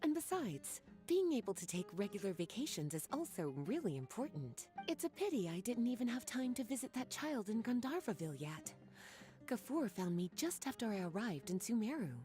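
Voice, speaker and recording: A woman's voice: on the high side, sing-song, fairly quick, dark tone, faint music behind. An actress playing a flirtatious, worldly woman librarian in a video game, speaking in a coy, leisurely and teasing manner. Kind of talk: video game dialogue acting.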